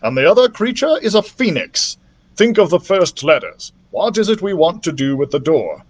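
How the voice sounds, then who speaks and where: A man reading as an excitable, learned dragon scholar. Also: sits high, normal speed, breathy edge, voice pushed up, exaggerated voice. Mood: instructive. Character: learned older male dragon scholar, excitable, commanding, solemn.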